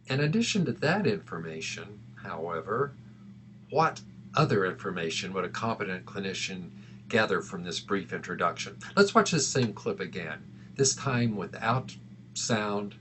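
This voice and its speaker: Man, lowish voice, instructor, measured lesson narration, didactic, slight background noise. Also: fairly bright tone.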